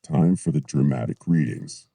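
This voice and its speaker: Very deep, gravelly movie-trailer announcer. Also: male voice, warm tone, full character voice. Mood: ominous, grand. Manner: slow, portentous delivery. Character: booming, ominous movie-trailer announcer man. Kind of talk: dramatic movie-trailer voice-over intro.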